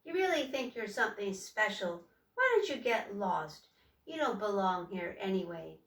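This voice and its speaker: A woman reading aloud voices a hulking bully troll who jeers and taunts. The delivery is loud and drawn out. The sound is distant and echoey, as if taken across a room. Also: medium pitch, lively intonation, normal speed. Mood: jeering. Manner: mocking and taunting. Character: large jeering bully male troll.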